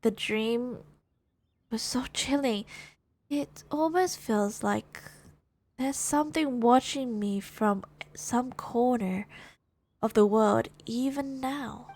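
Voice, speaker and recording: A woman streamer reading video game dialogue and narration aloud in a measured storytelling manner. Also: a little high, a little mellow.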